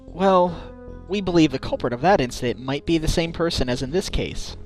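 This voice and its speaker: Casting: man as sincere male attorney, lightish pitch, incredulous asides, game fan dub. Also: brisk, darkish tone, soft background music, close to an everyday voice. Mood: earnest, measured. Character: earnest, reactive male defense lawyer, mildly exasperated.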